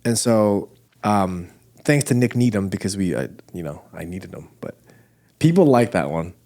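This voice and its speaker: A man's voice: low-pitched, lively intonation, moderate pace, dark tone. A man hosting a church podcast with a nasal voice, chatting casually and joking at his own expense. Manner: joking, self-deprecating, rambling with restarts. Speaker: podcast host. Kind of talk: casual chat.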